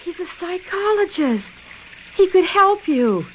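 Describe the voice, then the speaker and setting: Young worried girlfriend, high voice, hesitant and pleading, noisy radio play. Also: sing-song, slow pace, heavily acted. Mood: concerned. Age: young adult. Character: anxious, devoted young girlfriend, soft and insecure. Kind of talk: radio drama acting.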